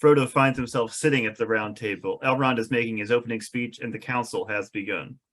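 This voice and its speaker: A man reading scripted stage directions for a fantasy screenplay as narrator, in measured storytelling style. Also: medium pitch, steady tone, fairly bright tone.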